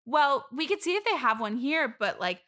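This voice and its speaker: A woman's voice: sits high, sing-song, moderate pace, fairly bright tone. A woman playing an eager, gushing bridesmaid desperate for best friends in an improvised comedy interview. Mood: bubbly.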